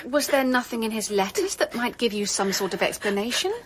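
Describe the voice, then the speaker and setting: A young gentlewoman of the Victorian age in a radio play. Her polite, composed voice sits fairly high and swings widely in pitch. It has a slightly husky edge, and she can turn emphatic and indignant. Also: expressive, brisk. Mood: concerned, calming. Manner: well-spoken, polite, emphatic. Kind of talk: radio drama acting.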